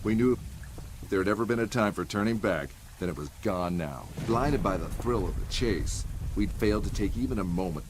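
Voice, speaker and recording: A man's voice: fairly low pitch, lively intonation, brisk, noisy background, close to an everyday voice. A man playing a brash, wisecracking treasure hunter in a video game, with a flippant, wry manner. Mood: brooding. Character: wisecracking, brash male treasure-hunting adventurer. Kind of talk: video game cutscene dialogue and narration. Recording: loud music over the voice.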